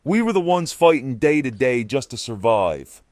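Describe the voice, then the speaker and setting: An actor playing an agitated, embittered leader of apocalypse survivors in an audio drama, confrontational and forceful. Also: male voice, medium pitch, big pitch swings, moderate pace, no echo, lightly acted. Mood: resentful anger.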